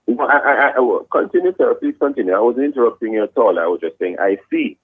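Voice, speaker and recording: A man's voice: mid-range voice, expressive, fast, breathy edge, no room sound, narrow, tinny audio. A male radio morning show host, interviewing a guest politely and formally, calling him sir and restarting his sentences often. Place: radio studio.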